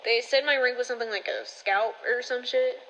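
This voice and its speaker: A woman's voice: fairly high pitch, brisk, a bit bright, highs cut off, lightly acted. A woman playing a scrappy, flippant young spacefarer with offhand, slangy speech in an audio drama monologue. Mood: flippant, wistful.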